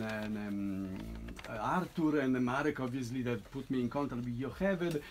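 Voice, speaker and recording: Man explaining his piece haltingly. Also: mid-range voice, slight background noise. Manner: halting, many restarts and tag "no?". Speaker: composer presenting a piece. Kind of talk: informal talk to an audience.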